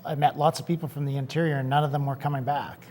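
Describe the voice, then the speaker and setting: A man with a Canadian accent from North Vancouver, a business owner answering questions in a TV studio interview in a plain, steady way. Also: on the high side, fast talker, a little hiss or hum.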